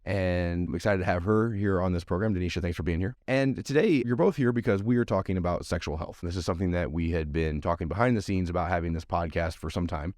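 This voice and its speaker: A very deep-voiced man hosting a podcast, his voice hoarse and gravelly from allergies. He talks in a chatty, upbeat, rambling way. The sound is dry and close, with no room behind him. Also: fast talker, hardly any pauses, darkish tone, no echo. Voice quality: gravelly, scratchy. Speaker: podcast host.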